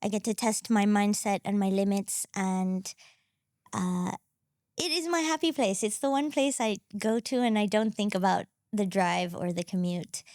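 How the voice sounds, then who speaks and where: A woman's voice: mid-range voice, moderate pace, darkish tone, no room sound, studio quality. A woman from Zambia, a guest on an interview, talking reflectively and thinking aloud with small restarts. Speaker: guest, entrepreneur coach. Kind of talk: conversational interview.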